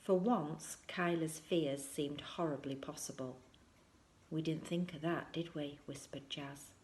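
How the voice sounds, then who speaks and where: A woman's voice: low-pitched, fairly even intonation, a little slow, darkish tone, breathy edge. A woman narrating a children's story aloud and acting out the dialogue between characters. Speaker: teacher reading aloud.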